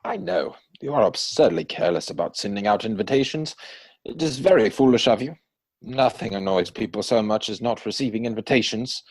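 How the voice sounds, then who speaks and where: A man's voice: fairly low pitch, animated, fairly quick, breathy edge. A man playing a witty, idle young dandy who pries playfully in arch, formally phrased banter, in a dramatic reading of a Victorian stage comedy. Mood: airy, witty. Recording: home recording over video call.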